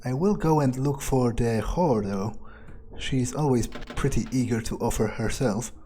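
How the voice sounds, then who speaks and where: A man narrating a video game in character, thinking aloud in an understated, musing way with frequent pauses. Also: medium pitch, warm tone, slight background noise. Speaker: gamer playing in character.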